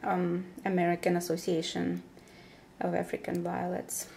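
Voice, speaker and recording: A woman giving casual unboxing commentary as a vlogger, speaking haltingly in short phrases with pauses, recorded indoors at a table. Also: on the low side, monotone, gravelly, a little mellow. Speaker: vlogger presenting her plant unboxing. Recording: background noise with paper crinkling and clicks. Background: crumpling paper packaging.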